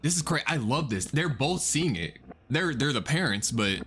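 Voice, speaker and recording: A man hosting a reaction video, excitedly talking through a horror story, swearing and thinking out loud. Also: medium pitch, normal speed, a little hiss or hum. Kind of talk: casual reaction commentary.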